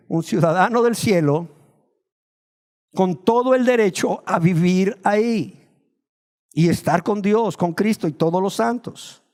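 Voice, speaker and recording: A man from Nicaragua, preaching a sermon, asking rhetorical questions and slowing down to stress key words. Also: sits high.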